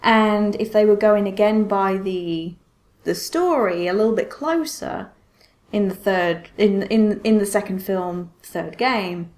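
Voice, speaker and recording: A woman speaks as a podcast co-host in a casual, conversational way. Her voice sits at a middling pitch as she chats informally about films. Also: unhurried, a little mellow.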